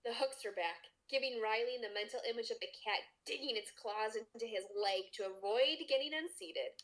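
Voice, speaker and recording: A woman's voice: a little high, moderate pace, fairly bright tone, rough recording. A woman narrating a story expressively as a podcast host.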